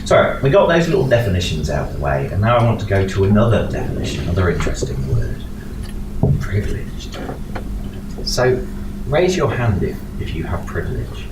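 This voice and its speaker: A man's voice: low-pitched, big pitch swings, a little fast. A man giving a conversational talk to a live audience, with many fillers and restarts. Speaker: meetup speaker. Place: meeting room with an audience. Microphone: distant room mic. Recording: echoey room from far off, noisy.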